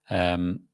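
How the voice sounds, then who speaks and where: Gravelly Glasgow man chatting on a stream. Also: low-pitched, a little mellow, no echo, studio quality. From Scotland. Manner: rambling, chatty, lots of ums and restarts. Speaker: YouTube host. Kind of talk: live stream chat reading comments.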